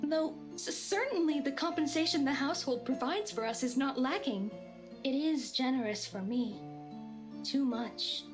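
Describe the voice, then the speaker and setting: A woman playing a meek but eerily calm servant in a visual novel, speaking in a deferential, measured, formal way. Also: sits high. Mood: meek, apologetic. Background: game music.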